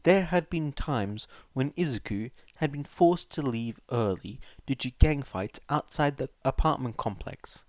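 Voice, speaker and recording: Male narrator, scripted fiction reading, unhurried pace, mid pitch, dry close recording. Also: animated, a little mellow, no echo. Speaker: audiobook narrator.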